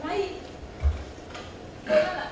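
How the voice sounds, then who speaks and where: A woman's voice: slow pace, natural voice. A woman acting an outspoken young woman who resists arranged marriage, declaiming emphatically in a stage play. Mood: subdued. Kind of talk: theatrical stage monologue. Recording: echoey stage hall from far, clear noise.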